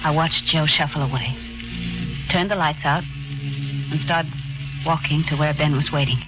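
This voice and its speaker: An actress playing a nervous young woman carousel attendant who narrates, hesitant and halting, in 1940s radio acting. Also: deep voice, fairly even intonation. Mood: reflective. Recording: lo-fi 1940s radio broadcast with music.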